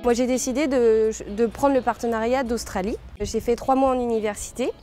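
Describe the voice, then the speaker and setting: A woman's voice: a little high, fairly even intonation, brisk, dark tone, faint music behind. A young woman, a student, recounting her experience plainly with some hesitation. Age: young adult. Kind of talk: testimonial.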